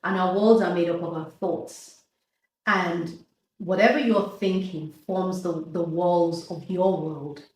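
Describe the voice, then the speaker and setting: A woman's voice: on the low side, fairly even intonation, takes it slowly, darkish tone. A woman in her fifties, hosting a podcast, talking about faith in a reflective way with frequent laughs and restarts. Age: 50s. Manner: reflective, laughs often, restarts mid-thought. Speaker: podcast host. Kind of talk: casual faith conversation. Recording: echoey room, distant from mic, faint noise.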